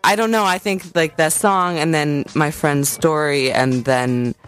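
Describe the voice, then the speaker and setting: A woman's voice: low-pitched, fairly even intonation, measured pace, clean tone. A woman speaks as an interview guest, with faint music behind her. She is a singer-songwriter talking in a casual, informal way, working out her thoughts as she speaks. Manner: informal, thinking aloud.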